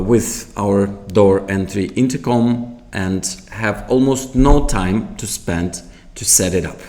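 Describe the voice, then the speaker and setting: A man giving an unscripted product tutorial in a halting, explanatory way. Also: on the low side, darkish tone. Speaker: product demonstrator.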